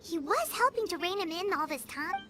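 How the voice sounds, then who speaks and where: A woman voicing a small, chirpy, excitable companion in a video game, squeaky and exclamatory. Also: brisk, slight background noise, exaggerated voice. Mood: thoughtful. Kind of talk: scripted video game dialogue.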